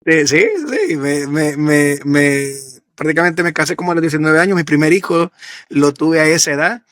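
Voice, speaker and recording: Salvadoran guy, kinda high voice, dry close sound, hesitant and repeats himself. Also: little pitch movement, moderate pace, fairly bright tone, no room sound. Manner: hesitant, with many repeated words. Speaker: podcast guest. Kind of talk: telling his life story.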